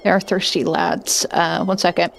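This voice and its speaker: A woman's voice: on the low side, level tone, moderate pace, gravelly, a little hiss or hum. A woman streaming a video game from home, chatting casually and thinking aloud with lots of fillers. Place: home, indoors.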